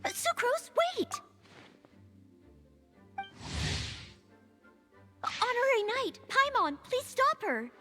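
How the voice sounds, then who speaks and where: A woman's voice: extremely high pitch, big pitch swings, unhurried, heavily acted. A woman voicing an eager, polite young maid knight in a video game, with a youthful tone and courteous, dutiful delivery. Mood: urgent. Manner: courteous, earnest, enthusiastic. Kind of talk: video game character dialogue. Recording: reverberant, with music behind.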